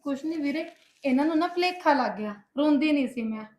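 A woman's voice: sits high, lively intonation, fast talker, darkish tone. A woman playing a meek married younger sister, pleading and conciliatory, in a family drama. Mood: anxious, covering up. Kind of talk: Punjabi domestic drama dialogue.

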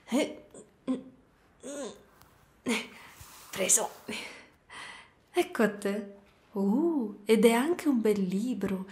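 A woman voicing a gentle, doting spider-woman caretaker in a soft, cooing ASMR roleplay. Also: a little high. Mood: playful, caring. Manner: soft, cooing, tender, sometimes whispering.

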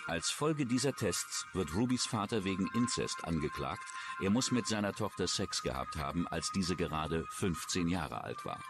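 A man's voice: low voice, animated, moderate pace, hardly any pauses, music in the background. A man reading scripted true-crime documentary narration.

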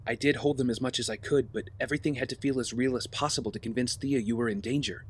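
A man's voice: medium pitch, steady tone, fast talker, a little hiss or hum, natural voice. A man playing an earnest, burdened young vigilante leader in an audio drama, serious and sincere. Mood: pained, sincere. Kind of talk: naturalistic audio drama acting.

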